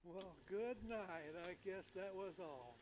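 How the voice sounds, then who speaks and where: An older man acts out a weary, complaining patient in a comedy play reading. The voice is very high for a man, with slow, hesitant, plaintive delivery. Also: expressive, background noise. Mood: resigned. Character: tired, put-upon, complaining hospital patient.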